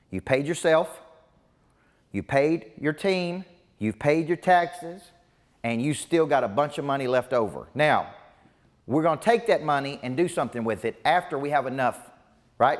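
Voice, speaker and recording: A man's voice: mid-range voice, in a large hall. A man in his forties giving a motivational business coaching seminar to a live audience, emphatic and punchy with lots of repetition. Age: 40s. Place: large seminar room before a live audience.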